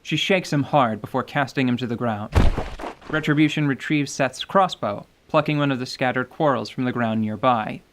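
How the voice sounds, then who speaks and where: A man giving scripted, measured storytelling narration for a fantasy radio drama. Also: medium pitch, a bit bright, slight background noise. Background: light music.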